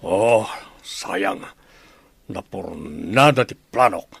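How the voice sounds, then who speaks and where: A man's voice: deep voice, animated, drawn-out words, vocal fry, a bit bright, husky, dry recording, heavily acted. An actor playing a scheming, greedy villain in an Ilocano radio drama, brooding over his plans. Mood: scheming, frustrated. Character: scheming, greedy male child seller.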